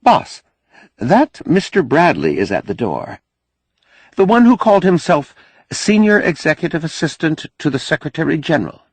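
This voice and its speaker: A man voicing a brisk, dutiful female secretary in an audiobook. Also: a little high, big pitch swings, breathy edge, dry recording, pitched above the performer's own voice, heavily acted. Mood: brisk, urgent.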